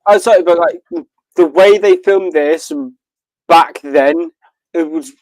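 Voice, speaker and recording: A man's voice: fairly high pitch, slow, a little mellow, dry recording, low-quality recording. A man chatting casually as a podcast co-host, swearing freely with crude, self-deprecating humour. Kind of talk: casual chat, watch party banter.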